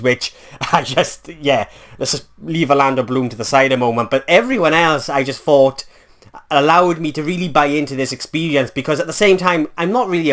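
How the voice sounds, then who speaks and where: A man's voice: fairly high pitch, a bit bright. A man from Wales with a Welsh accent, giving a casual spoken film review in a chatty, rambling way with many fillers and self-corrections.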